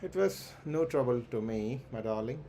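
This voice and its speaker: A man livestreaming a game, reading its dialogue aloud haltingly, with stumbles and self-corrections. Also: medium pitch, animated, mellow. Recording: clearly noisy background.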